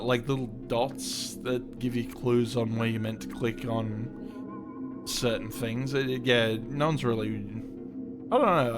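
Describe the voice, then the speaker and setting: Offhand man streaming a game over eerie music. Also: medium pitch. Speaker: livestreamer playing a game. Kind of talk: casual game commentary and reading on-screen text aloud. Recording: creepy ambient music behind. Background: creepy ambient game music.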